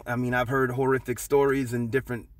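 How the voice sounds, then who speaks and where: A man's voice: medium pitch, flat delivery, brisk, no echo. A middle-aged man from Long Beach, talking casually to his viewers with lots of fillers and restarts. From Long Beach, California. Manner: conversational, many fillers and restarts, loose and storytelling. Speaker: channel host.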